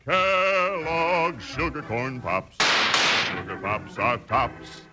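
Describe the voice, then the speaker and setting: A man's voice: mid-range voice, very slow. A man performing a rhythmic, rhyming 1950s radio advertising jingle. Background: loud music.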